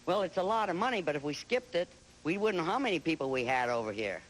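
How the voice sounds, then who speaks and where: A woman's voice: on the low side, big pitch swings, fast, a little hiss or hum, poor audio. A woman in her sixties from Southern California, chatting plainly as a game show contestant. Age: 60s. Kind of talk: casual chat and answering questions.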